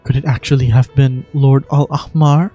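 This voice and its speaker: A performer voicing a composed, courteous adult character from a fantasy game in a measured, expository manner. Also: mid-range voice, flat delivery, dark tone, muffled recording, faint music behind, natural voice. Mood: solemn, wondering.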